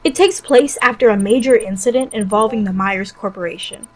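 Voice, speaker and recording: A woman's voice: mid-range voice, brisk. A woman hosting a narrated top list of games, conversational, with personal asides and enthusiastic recommendations. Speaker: video game reviewer, channel host.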